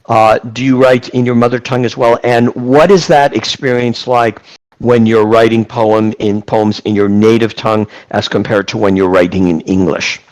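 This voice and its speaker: A man's voice: lowish voice, rough recording. A man hosting an online class on a video call, reading out chat questions and praising them effusively. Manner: effusive, repeats praise, reads questions aloud. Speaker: class host and moderator.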